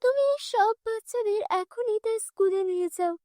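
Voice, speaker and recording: Woman voicing a very high-pitched kindly fairy. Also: moderate pace, mellow, dry recording, studio quality. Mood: gentle, approving. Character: kind otherworldly star fairy rewarding a brave girl.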